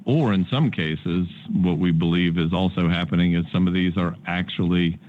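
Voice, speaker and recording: Low-voiced American executive on a call. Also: male voice, fairly even intonation, moderate pace. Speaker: company executive on an earnings call. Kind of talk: spoken answers to analyst questions. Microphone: conference call. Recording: muffled, narrow-band conference call line.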